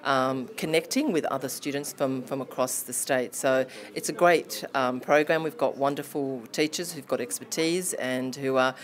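A woman's voice: extremely low pitch, normal speed, few pauses. A woman, answering interview questions in a classroom with many ums and restarts. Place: classroom indoors. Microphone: handheld lapel mic held near chest.

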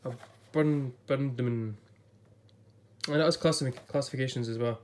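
Guy flipping thru a book on camera, bit off-voice from being ill, chatty and excited, talks it through as he goes. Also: medium pitch, moderate pace, slight background noise. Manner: chatty, enthusiastic, thinking aloud with restarts. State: voice a bit off from recent illness. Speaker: YouTuber reviewing a book. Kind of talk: casual book flip-through vlog. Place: indoors at a table before a blue backdrop.

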